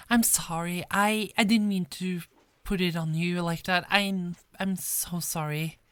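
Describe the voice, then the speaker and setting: A frazzled, friendly female police detective, acted by a woman in a one-sided ASMR roleplay. Her voice sits a little low and moves slowly, stumbling and repeating words in an exasperated, apologetic way. The sound is very dry, with no room around it. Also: steady tone, smooth, dry recording. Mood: apologetic, weary. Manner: hesitant, stammering, repeats words.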